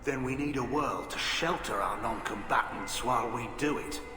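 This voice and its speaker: An actor playing a gruff, hawkish middle-aged alien admiral in a video game, blunt, clipped and wry. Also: male voice, mid-range voice, normal speed, lightly acted. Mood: grim, determined. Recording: echoey hall, music behind.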